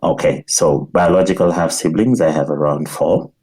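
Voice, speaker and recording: A Kenyan-accented man from Nairobi recalling his life as a podcast guest, measured and repeating phrases for emphasis. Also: extremely low pitch, darkish tone, breathy edge, low-quality recording. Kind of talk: personal recollection in an interview.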